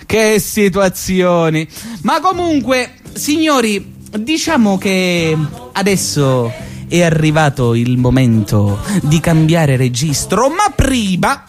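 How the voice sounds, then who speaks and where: A man with a Sicilian accent performing a satirical theatrical monologue, mocking and swinging between a sing-song lilt and sudden shouts. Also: sits high, very animated, slow, soft background music. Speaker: actor in a one-man radio show. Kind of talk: satirical theatrical monologue mimicking a radio host.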